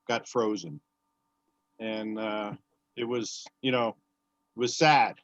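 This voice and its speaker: A man's voice: mid-range voice, dry recording. A man in casual group chat, rambling with restarts as he organizes volunteer help. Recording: dry, dull lo-fi call audio.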